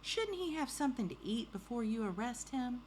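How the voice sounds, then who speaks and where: Woman acting a high, doting, kindly wife. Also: big pitch swings, moderate pace, darkish tone, slight background noise. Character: kindly, doting married woman, owner of a pig.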